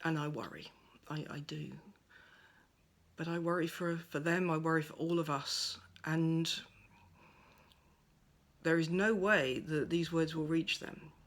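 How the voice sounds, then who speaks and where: Soft-spoken woman, near-whispering at times. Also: low-pitched, fairly even intonation, unhurried. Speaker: pagan speaker sharing reflections. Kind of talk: gentle reflective monologue. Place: at home by a window over a garden.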